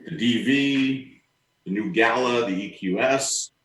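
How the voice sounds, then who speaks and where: A man's voice: medium pitch, slow, fairly bright tone. A man touring a showroom on camera, talking casually. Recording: echoey room.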